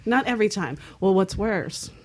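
A woman's voice: mid-range voice, lively intonation, moderate pace. A woman chatting casually and frankly on a talk panel, candid and earthy as she shares anecdotes.

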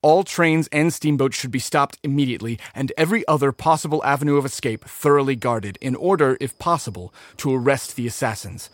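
An actor playing a formal reader of an official 1860s telegram in an audio drama, measured and declarative. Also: male voice, mid-range voice, no room sound, close to an everyday voice. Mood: official, grave. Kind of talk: scripted dramatic reading.

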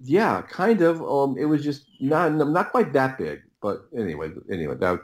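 A man's voice: medium pitch, big pitch swings. A middle-aged man telling stories casually as an interview guest, often doubling back and breaking off his phrases. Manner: halting, repetitive, rambling anecdotes with self-interrupted phrases. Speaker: podcast guest, writer and audio producer.

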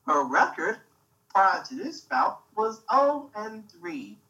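A man's voice: high voice, very animated, slow pace, creaky, pushed and bright, far from the mic, lo-fi sound. A man co-hosting a casual podcast chat, swearing freely with dry teasing. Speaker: podcast co-host.

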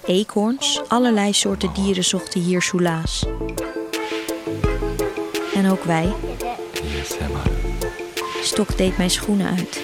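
A woman reading a literary first-person story as the narrator of an audio drama. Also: mid-range voice. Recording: music behind the voice. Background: music.

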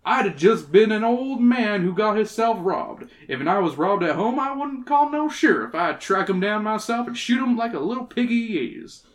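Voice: mid-range voice, lively intonation, normal speed, few pauses, voice pushed up, close to an everyday voice. A reader putting on a folksy old prospector. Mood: indignant. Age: older. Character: folksy old male prospector, gruff, stubborn, smug. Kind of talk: reading a storybook character aloud.

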